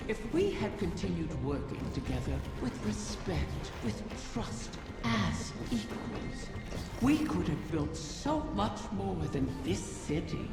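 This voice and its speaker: A man voicing an ancient, wistful dragon spirit in a video game, speaking theatrically with breathy lapses into whispers. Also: high voice, heavily acted. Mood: bitter, regretful. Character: ancient wish-dragon spirit, wistful, grand, facing its end. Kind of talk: scripted video game monologue. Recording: cavernous reverberant hall, music behind.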